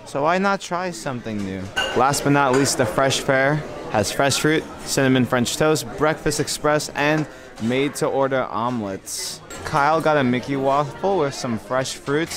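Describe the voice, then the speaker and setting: A young man talks to a handheld camera, upbeat and chatty as he walks through a cruise ship buffet. His voice sits at an everyday middle pitch. Music plays clearly in the background. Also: a little slow. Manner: upbeat, chatty, reads signs aloud. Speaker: vlogger. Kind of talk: casual travel vlog narration. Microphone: handheld camera at arm's length. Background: background music playing.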